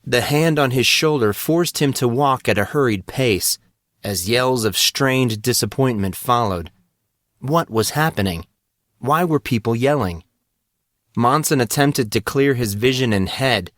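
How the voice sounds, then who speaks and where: A man's voice: medium pitch, a little slow, a bit bright, no echo, studio quality. A man reading scripted fantasy fiction aloud as an audiobook narrator.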